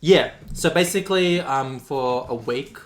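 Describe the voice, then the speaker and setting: A young man with a hoarse, worn voice, co-hosting a casual chat and rambling with lots of ums and laughs. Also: mid-range voice, a little slow. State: hoarse, losing his voice. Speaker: podcast co-host.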